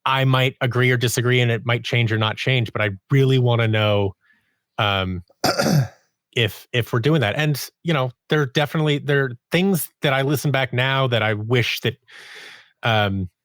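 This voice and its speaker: A man speaking as a guest writer and producer in a casual interview, haltingly thinking aloud and restarting his phrases. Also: medium pitch, a bit bright, no room sound, studio quality. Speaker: podcast guest, audio drama writer and producer.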